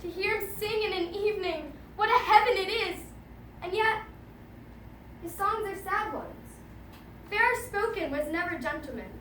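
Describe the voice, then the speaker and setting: Woman acting a bright, very high lovesick girl. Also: normal speed, pauses often. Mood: infatuated. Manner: emphatic, confiding, swinging between loud and soft. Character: lovesick, impulsive teenage jailer's daughter confiding her longing. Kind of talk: theatrical classical monologue. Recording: echoey, distant mic, faint noise.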